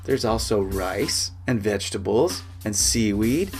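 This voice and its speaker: Man doing confident suited businesswoman, brisk, casual, audiobook dialogue, music underneath. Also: fairly low pitch, expressive. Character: brisk, confident big-city businesswoman in a suit.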